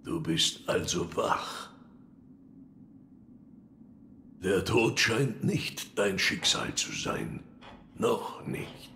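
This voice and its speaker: An actor playing a gruff, cryptic old wood-carver in an audio drama, brooding and gravelly. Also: male voice, deep voice, big pitch swings, slow, pushed and bright, slight background noise, heavily acted. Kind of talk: audio drama acting of a video game.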